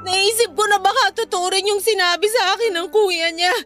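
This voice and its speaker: A woman's voice: very high-pitched, fairly quick, a bit bright, soft background music. A woman with a Filipino accent playing a heartbroken, furious young woman betrayed by her boyfriend in an emotional radio drama. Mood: tearful, hurt. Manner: emotional, wailing delivery.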